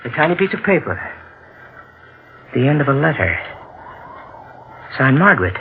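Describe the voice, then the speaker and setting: Suspicious husband with animated, swooping delivery. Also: male voice, fairly high pitch, normal speed. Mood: accusing. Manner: clipped, questioning, dramatic. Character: suspicious, brooding husband, probing and insistent. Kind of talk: 1940s radio mystery acting. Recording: narrow, faintly noisy vintage radio recording.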